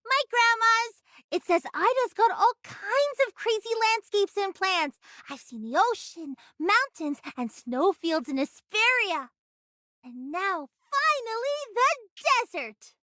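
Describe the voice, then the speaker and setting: A woman voicing an excitable, chatty young girl in an anime game, with an eager, childlike and boastful manner. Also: extremely high pitch, takes it slowly, no room sound, clean studio sound, full character voice.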